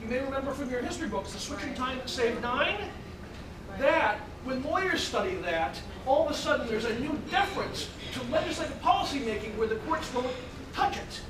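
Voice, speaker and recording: A man explaining zoning law at a town forum in a loud, lecturing, skeptical way. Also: extremely high pitch, lively intonation, a little fast. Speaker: attorney. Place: meeting room. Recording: echoey room, far from mic, noisy.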